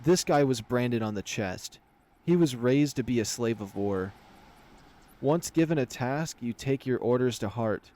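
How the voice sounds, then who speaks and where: A man's voice: medium pitch, expressive, a little slow, warm tone, close to an everyday voice. A man voicing a blunt, knowing animal soldier in a fantasy visual novel, explaining things in an emphatic, wry way. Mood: grim.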